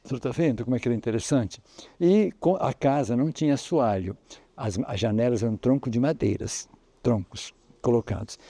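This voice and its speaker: Dark-voiced Brazilian man, halting storyteller. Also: medium pitch, no room sound. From Brazil, Espírito Santo. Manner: rambling, halting with restarts. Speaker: local historian guide. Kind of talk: on-site interview, explaining history.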